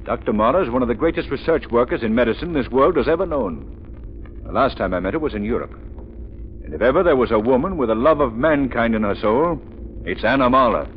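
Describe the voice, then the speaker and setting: A gallant, self-assured gentleman sleuth played by a man talks with a very varied melody in a middle pitch. It is old radio drama, tinny and hissy. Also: expressive, brisk, lightly acted. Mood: calm, urgent. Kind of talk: 1930s radio acting. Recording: tinny, noisy 1930s radio recording.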